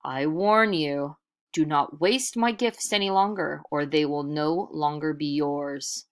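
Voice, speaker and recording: Storybook sky spirit, played by a female reader: mighty, brooding, angry, slow and booming with solemn weight. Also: clear, resonant tone. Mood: stern warning. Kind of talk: storybook read-aloud character voice.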